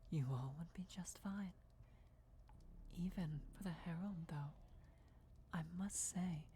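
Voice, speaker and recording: A woman voicing a deferential vampire emissary in a fantasy ASMR roleplay, speaking in a formal, measured, breathy whisper. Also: fairly low pitch, a little hiss or hum. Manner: hushed, formal, measured, reverent. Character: deferential female vampire emissary briefing her lord before war.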